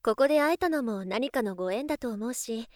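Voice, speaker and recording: Actress as a refined, high-voiced, doting rich lady. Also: expressive, brisk, darkish tone, no echo, clean studio sound, natural voice. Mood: gracious. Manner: polite, coaxing big-sister speech. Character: refined, gentle rich young lady with sly, doting streak. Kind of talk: Japanese voice drama acting.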